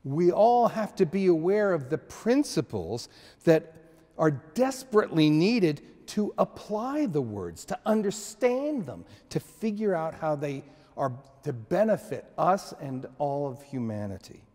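A man in his sixties preaching a sermon in a church sanctuary, slow and measured, with frequent restarts and emphatic pauses. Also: on the high side, big pitch swings, dark tone, big-room sound. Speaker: pastor.

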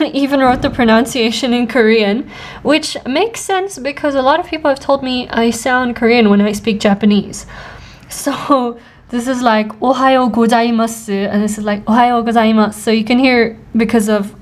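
Chatty young woman, slightly high voice. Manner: chatty, self-deprecating, laughs often. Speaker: language learner vlogger. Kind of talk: casual show-and-tell narration.

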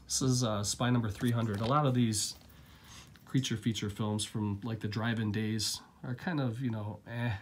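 A man with a lingering cold, casually chatting through a relaxed, rambling unboxing of his new discs, recorded indoors at home. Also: on the low side, moderate pace, slight background noise. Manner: relaxed, rambling, filler-heavy. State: getting over a cold, coughing. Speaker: collector vlogger.

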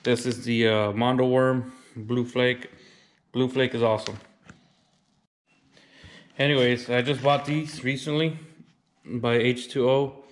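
A man in his thirties talking through his fishing gear in an easy, plainspoken way, saying "y'all" now and then. His pitch stays quite even and level. A faint hiss sits under the camera-mic recording. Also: slow, long pauses. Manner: relaxed, plainspoken, says "y'all". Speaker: fishing vlogger. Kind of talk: casual show-and-tell. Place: indoors, then a wooden deck.

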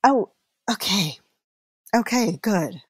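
A woman's voice: mid-range voice, lively intonation, slow pace, husky, no echo, studio quality. A wry woman, closely recorded. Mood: reflective, concerned. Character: wry, warm-hearted woman at a family gathering. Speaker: actor in an audio play. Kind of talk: naturalistic comedy acting.

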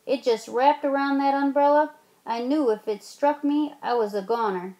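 A spirited old aunt tells her tall tale with folksy relish, voice lifted high and strong, put on by a woman reading a storybook aloud. She draws her words out for effect. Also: moderate pace, dark tone, lightly acted. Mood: boastful.

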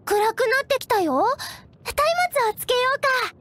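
A woman voicing a cheerful, eager teenage girl scout knight in an anime game, perky, earnest and expressive. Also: very high-pitched, moderate pace. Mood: friendly. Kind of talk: Japanese anime game voice acting.

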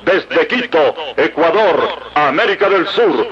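Male radio announcer, high voice, dull old recording, vintage station ID. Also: animated, takes it slowly, a crowd in the background.

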